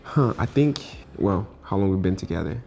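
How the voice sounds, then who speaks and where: A laid-back man in his twenties with a very deep voice that goes gravelly with fry. He speaks easily and keeps his answers short. Faint music sits under his voice. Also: animated, moderate pace, warm tone. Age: 20s. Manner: laid-back, brief replies. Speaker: co-host of couple channel. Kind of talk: casual chat.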